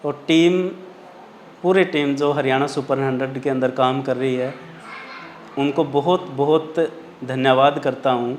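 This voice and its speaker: A middle-aged man, a parent, speaking earnestly and gratefully in an interview. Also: mid-range voice.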